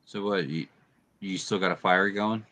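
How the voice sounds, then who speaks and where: A relaxed man chats on a live stream, dropping casual swears. His voice is very low yet bright and tense, with a forceful edge. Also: normal speed. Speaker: panel guest.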